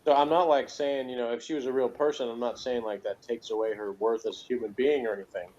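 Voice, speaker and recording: A man's voice: medium pitch, fairly even intonation, quick pace, darkish tone, lo-fi sound. A man chatting casually as a reviewer, rambling and jokey, with pop-culture asides. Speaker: podcast reviewer.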